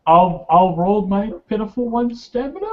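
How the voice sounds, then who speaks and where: A man's voice: sits high, expressive, normal speed. A man chatting casually during a tabletop roleplaying game, hesitant and self-deprecating. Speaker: player in a tabletop roleplaying session. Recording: dull lo-fi voice recording.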